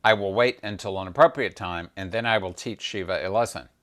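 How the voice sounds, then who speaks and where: A man puts on a snobbish divine father-in-law from myth, with a low, clear voice. He is proud and resentful, speaking with haughty exasperation. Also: fairly quick, a bit bright. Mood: haughty, vengeful.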